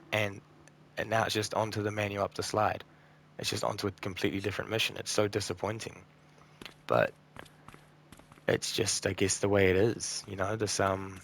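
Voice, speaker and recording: A man hosting a gaming video, talking casually over gameplay in a croaky, slightly raspy voice, tired and burnt out, rambling with ums and trailing off. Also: lowish voice, a bit bright, a little hiss or hum. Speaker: gaming channel host.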